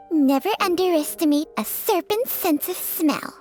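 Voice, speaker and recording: A woman's voice: high-pitched, lively intonation, slow, darkish tone. A woman voicing a haughty, demanding talking snake in a video game, languid, whiny and imperious, over music. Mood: smug. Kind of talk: scripted game creature dialogue. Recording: background music under dialogue.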